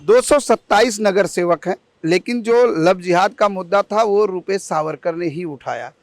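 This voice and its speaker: A man's voice: high-pitched, normal speed, no room sound. A man working as a news reporter, asking questions and summing up in wordy field-report style.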